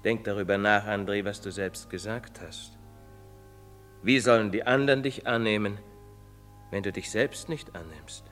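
An actor playing an earnest priest in a stage drama, pleading and moralizing in rhetorical style. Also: male voice, lowish voice, normal speed, fairly bright tone, faint music behind, lightly acted. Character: earnest, preaching priest, later old and guilt-ridden. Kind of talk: theatrical stage drama acting. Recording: reverberant theatre stage recording.

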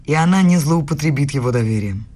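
A husky young woman, a clever student in an intimate radio play. She is coy and quick-witted, teasing with formal politeness. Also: low voice, expressive, dark tone. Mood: composed, knowing. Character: young female student, clever, coy, bold in secret love. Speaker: radio drama actress.

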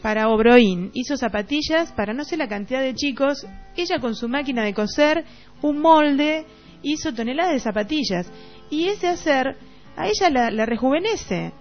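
Female radio host, middle-aged, Rioplatense accent, reflective and halting, with music playing behind a muffled recording. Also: high-pitched, animated. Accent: Rioplatense Argentine Spanish. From Argentina. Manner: halting, searching for words. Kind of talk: casual reflective radio discussion.

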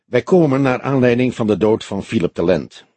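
A man voicing a grey-haired veteran police detective in an audiobook, old-fashioned, formal and deliberate, with a dry, probing way. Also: mid-range voice, expressive, mellow, breathy edge, dry recording. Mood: formal, composed. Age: older.

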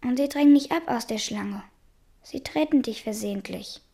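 An actress playing a young daughter who questions her parent insistently and quietly in a literary radio drama. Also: fairly high pitch, moderate pace, vocal fry, slightly rough. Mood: probing, insistent.

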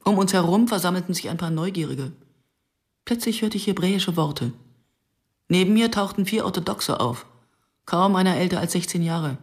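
A woman playing a sober, questioning film director who narrates her memories in a measured, observant way for a radio drama. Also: on the low side, expressive. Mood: tense reporting. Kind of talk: literary first-person radio drama narration.

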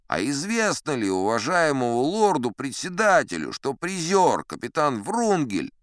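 An actor playing a pompous, scheming English yacht-club gentleman who accuses in high, indignant oratory. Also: wildly swooping pitch, measured pace, dry recording, studio quality. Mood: indignant accusing.

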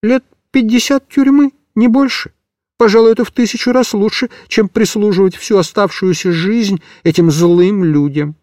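A man's voice: very high-pitched, fairly even intonation, very slow, dry recording, clean studio sound, pitched above the performer's own voice, exaggerated voice. A man voicing a small, frightened talking male cat in a storybook reading, plaintive and pleading. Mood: fretful.

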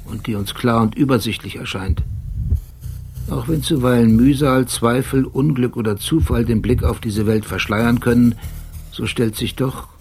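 An actor playing an obsessive, brooding police detective who narrates a radio play in a deliberate, precise, philosophical manner. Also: male voice, mid-range voice, darkish tone, close to an everyday voice. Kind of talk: radio play acting and literary monologue. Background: music.

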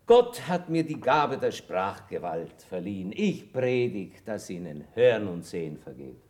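An actor playing a boastful, cynical army chaplain in a radio drama, pompous and declamatory. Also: male voice, lowish voice, big pitch swings, measured pace, a little mellow, breathy edge, full character voice. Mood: aggrieved, boastful. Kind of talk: stage-style German radio drama acting.

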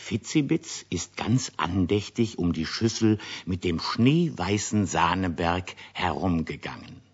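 A low-voiced man tells a scripted story to children as narrator of a radio play. He is chatty and unhurried, with a husky tone, on a dull old recording. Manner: chatty, expressive.

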